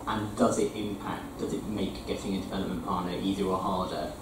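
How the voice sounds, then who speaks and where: A man's voice: deep voice, fairly even intonation, brisk. A councillor, a man, asking a question while repeating phrases as he searches for words. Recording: noisy echoey committee room from far off.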